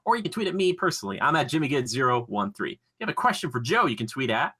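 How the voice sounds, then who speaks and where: A man with a bright, forward voice and average pitch hosts a casual podcast. He talks in a chatty, joking way, like someone bantering with a friend. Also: sing-song, very fast, breathy edge. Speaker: podcast host.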